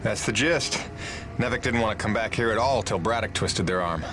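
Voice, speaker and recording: A man playing a steady, weary rig pilot who leads a rebellion in a game cutscene, plain-spoken and reassuring. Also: lowish voice, a little fast, noisy background, natural voice. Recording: loud music behind the voice.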